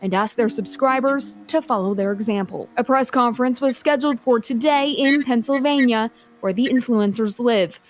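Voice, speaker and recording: A woman's voice: a little high, moderate pace, hardly any pauses. A woman reporter delivering scripted news narration in a formal reading style.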